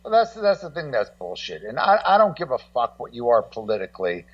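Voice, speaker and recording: A man co-hosting as a guest in casual, swearing chat, rambling excitably and repeating his words. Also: mid-range voice, fairly quick. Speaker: guest co-host. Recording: dull lo-fi, distant-sounding connection.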